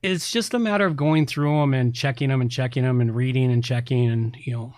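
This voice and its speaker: A man's voice: medium pitch, brisk, darkish tone, no echo, studio quality. A middle-aged man hosting a podcast, talking casually in a rambling, blunt, informal way. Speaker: podcast host and website consultant. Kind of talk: casual tech podcast talk.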